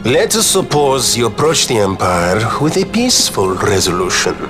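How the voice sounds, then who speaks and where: A man's voice: medium pitch, very animated, moderate pace, over music, close to an everyday voice. An actor playing an eloquent nobleman in a video game, speaking in a formal, articulate and theatrical manner. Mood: grave, wry. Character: eloquent adult nobleman giving a grave war briefing. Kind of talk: dramatic video game voice acting.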